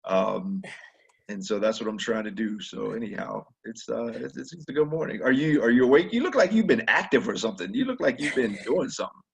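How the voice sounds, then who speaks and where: A man's voice: mid-range voice, animated, a little fast, gravelly, breathy edge. A man hosting a casual interview over a webinar call, rambling with stammered restarts and slangy phrasing. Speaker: host. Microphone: webinar video call.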